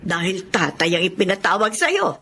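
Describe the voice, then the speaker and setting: Frank, protective Filipina aunt. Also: female voice, fairly low pitch, very animated, moderate pace, a bit bright, slight background noise. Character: protective middle-aged aunt, caring, indignant elder sister. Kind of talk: Filipino radio drama acting.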